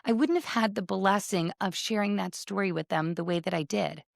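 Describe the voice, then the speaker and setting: A woman's voice: medium pitch, fairly quick, vocal fry, no room sound, studio quality. A woman hosting a podcast in a conversational style, speaking earnestly and affirming her guest. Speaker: podcast host. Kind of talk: conversational interviewing.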